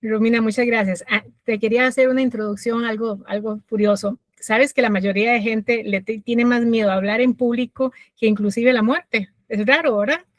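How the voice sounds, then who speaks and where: A middle-aged woman from Costa Rica with a Costa Rican accent, a guest telling her life story in a reflective way with many pauses and fillers. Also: a little high, steady tone, no echo. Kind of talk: personal story interview.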